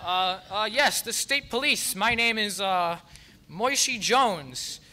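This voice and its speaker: A young man playing a lovable drifter in a radio soap on stage, halting as he improvises. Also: high-pitched, lively intonation, pushed and bright, full character voice. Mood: flustered improvising. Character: gruff but lovable drifter, improvised by flustered radio actor. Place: theater stage.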